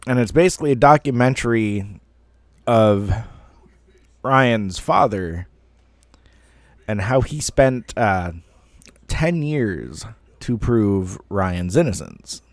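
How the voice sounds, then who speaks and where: A man's voice: lowish voice, sing-song, slow pace, pauses often, dry recording. A man in his thirties telling a personal story casually, in a rambling, self-deprecating way with some mild swearing. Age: 30s. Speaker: online storyteller.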